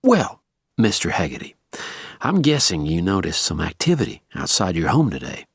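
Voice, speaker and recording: Guy doing a southern us heavy, courtly and steely, higher than his own voice, kinda gravelly. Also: huge pitch swings, normal speed, no room sound, studio quality, full character voice. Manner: courtly charm with a steely edge. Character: polite, menacing male Southern American heavy.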